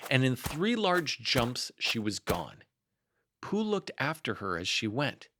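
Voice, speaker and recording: A man's voice: medium pitch, wildly swooping pitch, takes it slowly, bright, forceful tone. A man narrating a children's story as a read-aloud storyteller.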